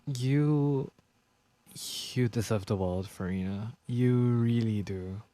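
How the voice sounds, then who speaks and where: A man giving casual, sympathetic playthrough commentary, often halting and repeating himself. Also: mid-range voice, dark tone, dry recording. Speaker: streamer. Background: game music.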